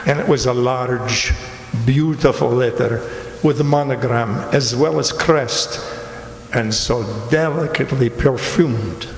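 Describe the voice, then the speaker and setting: An actor playing a sly, shabby fat Italian stranger in a radio drama, with an Italian accent and a courteous, theatrical, mock-humble manner. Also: male voice, medium pitch, a little hiss or hum, natural voice. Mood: insinuating. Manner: mock-humble, ornate, teasing, deliberate speech. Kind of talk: amateur radio drama acting. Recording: very echoey reverberant hall.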